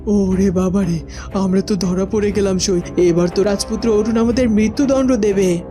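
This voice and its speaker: A woman's voice: mid-range voice, fairly even intonation, normal speed, natural voice. A woman playing a once-proud queen reduced to a starving beggar, pleading and wailing in a melodramatic fairytale cartoon. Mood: frightened pleading. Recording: music under the voice.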